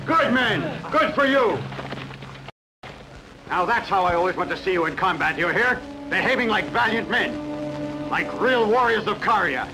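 A man plays a haughty ancient king with a highish voice that swings from silky threat to barked command. He is cruel and imperious, heard over a loud film music track. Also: expressive, unhurried, pauses often, low-quality recording. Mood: gloating. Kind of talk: dubbed sword-and-sandal film acting.

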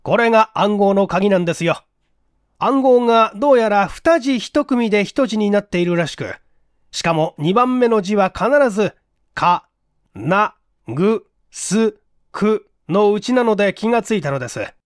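Man playing an earnest, animated police detective. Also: sits high, dry recording, studio quality. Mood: explanatory. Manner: polite, measured, explanatory. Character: earnest, courteous male police detective chief explaining a case. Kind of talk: dramatic audiobook dialogue.

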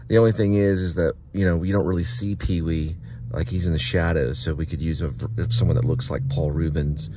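A man with a drawl talks in a very deep voice as he makes his delivery rounds, rambling and trailing off as he goes. Road and vehicle noise carries clearly around him. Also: steady tone, brisk, a little mellow. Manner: rambling, offhand, trails off and repeats himself. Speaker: vlogger and delivery driver. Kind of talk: casual talk to camera.

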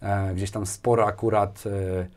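A man's voice: lowish voice, flat delivery, unhurried, a little mellow. A man chats casually as an interview guest, often repeating words and tagging phrases with a checking question. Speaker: podcast guest, technology executive. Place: small furnished studio with padded wall panels, indoors. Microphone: lapel microphone.

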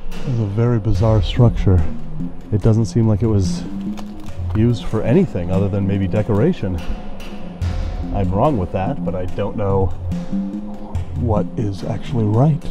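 A man with a fairly low voice talks through a walk in overgrown ruins, curious and jokey. He is recorded on a handheld camera mic outdoors, with music clearly playing under him. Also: measured pace, long pauses, highs cut off. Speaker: vlogger exploring ruins. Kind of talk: casual walking vlog narration.

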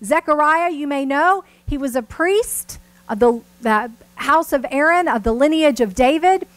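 A middle-aged woman preaching a church sermon in deliberate, emphatic phrases, checking in with her listeners. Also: high voice, expressive, a bit bright, no echo. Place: church sanctuary with a seated congregation.

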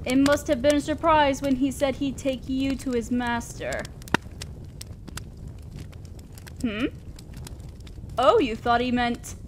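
A woman playing a haughty, teasing female demon lord in a roleplay audio drama, with a drawn-out, condescending, theatrical delivery. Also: sits high. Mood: amused, condescending. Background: crackling fire.

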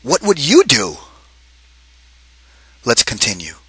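Lively, husky male storybook reader. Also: mid-range voice, slow, no room sound. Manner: expressive, lively, asks kids questions. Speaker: read-aloud teacher. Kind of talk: children's picture book read-aloud.

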